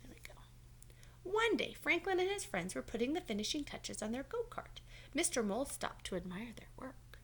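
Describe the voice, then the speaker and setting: A woman's voice: sits high, very animated, normal speed, breathy edge. A woman reading a storybook aloud as a teacher, encouraging and stopping to check in with young listeners. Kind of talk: storybook read-aloud with questions to children.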